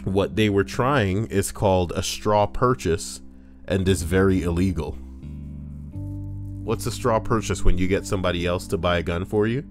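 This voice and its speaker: A man's voice: low-pitched, moderate pace, soft background music. A man casually reading forum posts aloud on a livestream, breaking in with crude, joking asides.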